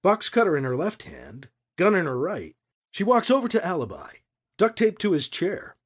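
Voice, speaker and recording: A man's voice: sits high, huge pitch swings, normal speed, breathy edge. A man reading fiction aloud as an audiobook narrator, in a dramatic scripted storytelling style.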